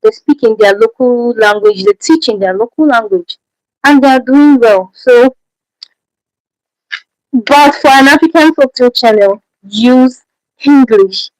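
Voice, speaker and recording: A Nigerian woman with a fairly high voice hosts a livestream Q&A in a dry, close-sounding space. She is a native Efik speaker and talks with a Nigerian accent, repeating her main points and tossing out rhetorical questions as she goes. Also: measured pace, pauses often, no echo, poor audio. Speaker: channel host. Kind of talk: livestream Q&A advice.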